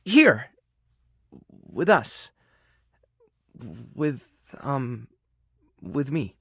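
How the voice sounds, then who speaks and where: A male actor in a dating video game playing an earnest, tender, awkward keeper of an animal rescue, sincere and prone to halting rambles. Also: a little high, sing-song, dry recording. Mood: hopeful, shy. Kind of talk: video game character voice acting, dating simulator.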